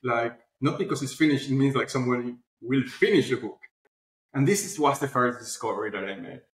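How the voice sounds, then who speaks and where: A man speaking casually as an interview guest, telling stories in an animated way with quoted asides. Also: medium pitch, a little fast. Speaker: interview guest, publishing platform founder. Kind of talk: casual conversational interview answers. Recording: roomy, echoey sound.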